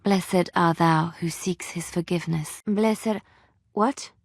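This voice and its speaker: A woman playing a devout, gentle, romantic young companion in a fantasy video game, speaking in formal, earnest phrases. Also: lowish voice, takes it slowly, no room sound. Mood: dazed, distressed.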